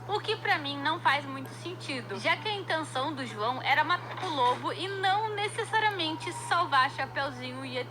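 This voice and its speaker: A woman with a Brazilian accent telling a story as informal commentary, dropping wry, joking asides. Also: sits high. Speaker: narrator of a horror story recap. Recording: clear noise behind the voice.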